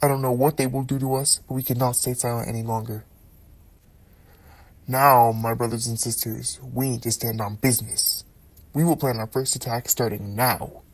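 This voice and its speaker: A man's voice: medium pitch, fairly even intonation, normal speed, lightly acted, poor audio. A man playing a resolute freedom fighter leader in an amateur puppet show, speaking in a declamatory, defiant way. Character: resolute male freedom fighter leader rallying his followers.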